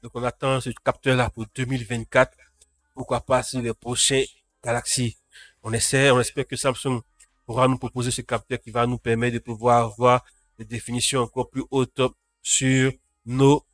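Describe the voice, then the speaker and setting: A man's voice: medium pitch, a bit bright, no room sound. A man with a cold hosting a casual live tech news roundup, greeting chat viewers by name. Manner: talks to live chat viewers, frequent restarts. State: has a cold.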